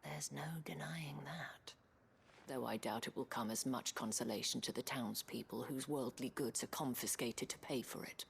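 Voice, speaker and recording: A woman's voice: a bass voice, moderate pace, vocal fry, a little hiss or hum, heavily acted. An actress playing a dignified, principled noblewoman in a fantasy game, with poised, formal and eloquent speech. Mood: resigned, sorrowful. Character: dignified noblewoman leading a town, wistful, principled. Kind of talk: scripted game dialogue.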